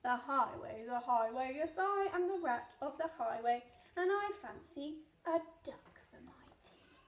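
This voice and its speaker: A woman voicing a greedy, boastful male rat highway robber in a menacing, declamatory storybook manner. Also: expressive, a little slow, roomy sound.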